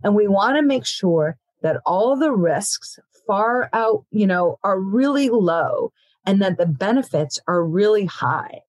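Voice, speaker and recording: A woman's voice: medium pitch, unhurried, no echo. Woman doctor explaining plainly, dry sound. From born in Ethiopia, raised in Africa. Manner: explanatory, steady, frequent "you know". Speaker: physician. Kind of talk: expert explaining in an interview.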